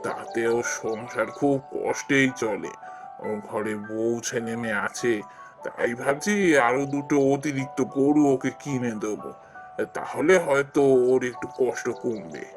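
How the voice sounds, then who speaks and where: A man playing a kind, generous old village landlord in a cartoon fairy tale, explaining things in a measured way. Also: mid-range voice, music in the background, natural voice. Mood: benevolent, earnest.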